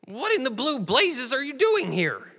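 A man's voice: very high-pitched, wildly swooping pitch, unhurried, pushed and bright, breathy edge, long reverb tail, full character voice. A man playing a fussy, anxious talking rabbit in a children's audio drama, grumbling and scolding in quaint old-fashioned phrases. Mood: exasperated.

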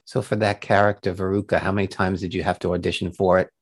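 A man's voice: low voice, level tone, fast talker, slightly rough. A man hosting an interview show, introducing his guest and asking questions.

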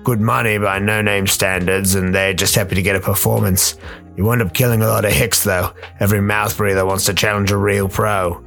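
A man voicing a tall, muscular, battle-scarred ex-pit fighter, gruff but loyal, with rough slangy speech, in a radio play. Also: lowish voice, flat delivery, brisk, fairly bright tone, soft background music, pitched below the performer's own voice. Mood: gruff, easygoing. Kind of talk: radio play character acting.

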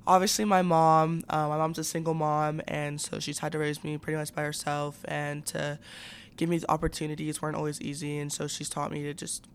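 A woman's voice: low-pitched, slight background noise. A young woman in her late teens chatting casually as a student athlete guest in an interview, with lots of youthful slang and fillers.

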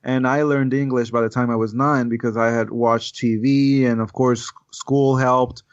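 A man's voice: mid-range voice, level tone, normal speed, no echo. Man on a dull dry line. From Puerto Rico. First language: Spanish. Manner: earnest, repeats words while thinking. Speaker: game designer guest. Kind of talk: casual podcast chat. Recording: dull, dry podcast audio.

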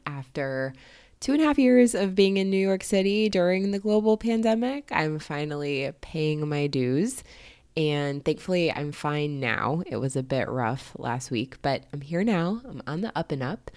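A woman, hosting a solo podcast in a casual, energetic way while sounding hoarse and muffled. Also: sits low, unhurried, dry recording. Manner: energetic, conversational, emphatic. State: hoarse and muffled, recovering from illness. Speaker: podcast host and life coach.

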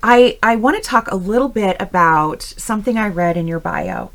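A woman's voice: mid-range voice, moderate pace, a bit bright. A woman hosting a conversational interview in an informal, encouraging manner. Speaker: interview host.

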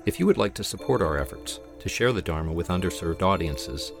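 A man reading a scripted welcome for a talk series in a measured, even way. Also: sits low, vocal fry, over music. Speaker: show announcer. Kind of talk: scripted intro read. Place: studio, music underneath.

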